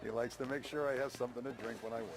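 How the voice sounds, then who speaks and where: An actor playing a casual, teasing American gangster in a video game, breezy in delivery. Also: male voice, medium pitch, fairly even intonation, racing, gravelly, natural voice. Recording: loud music over dialogue.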